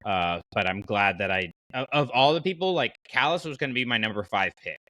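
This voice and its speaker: A man's voice: lowish voice, expressive, brisk, sharp tone, dry recording. A man hosting a podcast in a casual, enthusiastic and conversational way. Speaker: podcast host.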